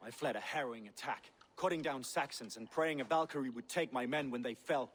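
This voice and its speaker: A man's voice: mid-range voice, a little hiss or hum, natural voice. An actor playing a proud, zealous male Viking warrior who talks grandly and bristles when questioned. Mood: boastful. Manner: grandiose, boastful, quick to bristle. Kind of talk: game dialogue.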